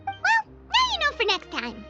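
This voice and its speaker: A tiny chirpy game sidekick, with an extremely high, squeaky child's voice that bounces around in pitch. She is blunt and exclamatory. Loud music plays behind her. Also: wildly swooping pitch, a little slow, lo-fi sound, full character voice. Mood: curious, blunt. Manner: exclamatory, refers to herself in third person. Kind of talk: video game voice acting.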